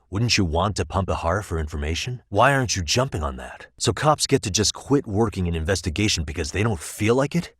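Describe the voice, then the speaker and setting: A man acting a determined, streetwise private detective in a video game, with an American accent and a measured, probing, bluntly persuasive way of talking. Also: low voice, husky, no echo, studio quality. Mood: confrontational.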